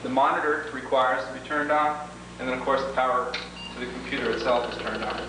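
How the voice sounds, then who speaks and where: A man's voice: mid-range voice, level tone, fairly quick. A man presenting an instructional training tape as a product demonstrator, methodically going through each step in formal wording. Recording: echoey, noisy, distant 1980s homemade video.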